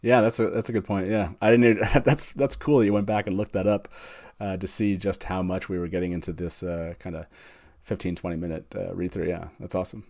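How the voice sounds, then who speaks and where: A man's voice: deep voice, steady tone, fairly quick, creaky, a little mellow. A man hosting a casual group chat, talking in a rambling way with repeated phrases and frequent chuckles. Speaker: host. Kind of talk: casual chat, going round the guests.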